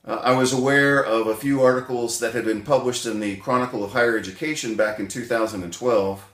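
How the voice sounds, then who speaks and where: A man's voice: medium pitch, fairly even intonation, brisk, fairly bright tone, roomy sound. A man recovering from bronchitis, a little wheezy, talking casually to his viewers in a rambling way, with many fillers and self-corrections. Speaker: chiropractic doctor and essay author. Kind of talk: casual spoken vlog, self-recorded.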